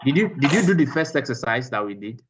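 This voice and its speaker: A man's voice: medium pitch, big pitch swings, fast talker, muffled recording, heavy background noise. Man teaching an online class. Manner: repeats phrases for emphasis.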